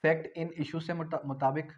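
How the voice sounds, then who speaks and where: A man's voice: on the high side, flat delivery, normal speed. A man with a Pakistani accent giving an explanatory law lecture in a didactic way, repeating key phrases for emphasis. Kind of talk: explanatory lecture, reading out legal articles and explaining them. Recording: dull, lo-fi audio.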